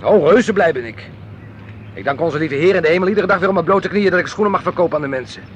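An actor playing a grumbling, old-fashioned middle-aged husband in a radio drama, with a complaining, sardonic, dismissive manner. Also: male voice, on the high side, a bit bright, slight background noise. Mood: sardonic, bitter.

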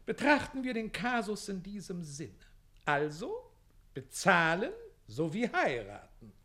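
A very high-voiced pompous older advocate in a radio comedy. He blusters and splits hairs in a wildly swooping, oratorical delivery. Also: huge pitch swings, a little slow, sharp tone, full character voice. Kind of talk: German radio play comedy acting.

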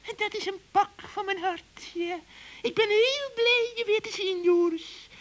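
Guy doing a super high shrill old witch, sly, whiny and sucking up, kids radio play. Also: fairly bright tone. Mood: fawning, wheedling. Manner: drawn-out pleading and fawning.

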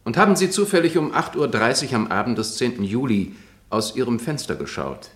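An actor playing a formal prosecutor who questions in a clipped, methodical way in a German radio drama. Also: male voice, medium pitch, big pitch swings, brisk, a bit bright. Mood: businesslike.

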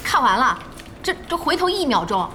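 A woman playing a feisty, impatient internet cafe boss in an audio drama, blunt and expressive. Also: high-pitched, normal speed, a little hiss or hum, heavily acted. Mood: curious. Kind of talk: Chinese audio drama acting.

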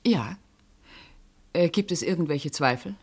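A woman's voice: deep voice, lively intonation, measured pace, slightly rough, full character voice. An actress playing a composed, guarded, self-assured woman under questioning in a German radio play, formal, evasive and insistent.

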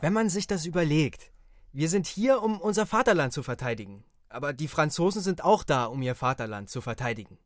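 Man acting higher-voiced clever young soldier, rather superior. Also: animated, a little fast, dry recording, lightly acted. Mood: questioning. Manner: argumentative, condescending.

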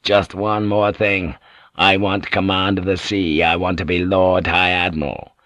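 A man reading aloud, voicing an ambitious, calculating male samurai lord who talks quietly and eagerly. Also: sits low, level tone, unhurried, no room sound. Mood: scheming.

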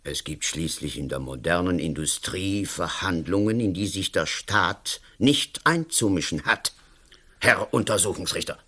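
An actor playing a domineering, smug and sly politician in a radio play, with a condescending, theatrical, emphatic delivery. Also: male voice, low voice, unhurried, sharp tone, exaggerated voice. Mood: smug, insistent. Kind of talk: German-language radio drama acting.

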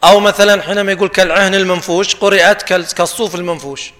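A man's voice: high voice, fairly even intonation, very fast, a bit bright. A man moderating a panel discussion. He talks animatedly and draws out the verses he recites. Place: discussion forum in a hall. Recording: reverberant hall sound.

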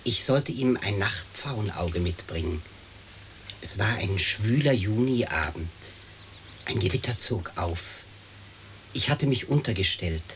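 An actor playing a wistful, devoted dead father who speaks in a measured way in a radio play. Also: lowish voice, animated, fairly bright tone, slight background noise. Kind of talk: German radio play acting.

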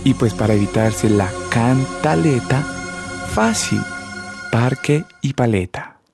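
A man acting as a mischievous Colombian Cupid in a radio comedy sketch. He talks slowly at a middling pitch, teasing and materialistic, with clear music playing behind him. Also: animated. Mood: matter-of-fact. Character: mischievous, materialistic male Cupid pushing his love arrows.

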